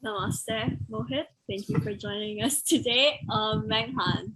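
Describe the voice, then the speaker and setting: A woman's voice: medium pitch, measured pace, fairly bright tone, slightly rough, low-quality recording. A young woman hosting a casual student podcast over a video call, upbeat and chatty. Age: young adult. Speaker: podcast host. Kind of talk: casual chat. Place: bedroom, indoors. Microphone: earphone mic on a video call.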